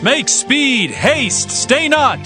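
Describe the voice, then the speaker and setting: An actor playing a loyal young prince in a scripture drama, speaking in an earnest, declamatory way. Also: male voice, extremely high pitch, very animated, drawn-out words, heavily acted. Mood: urgent, commanding. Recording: music behind the voice.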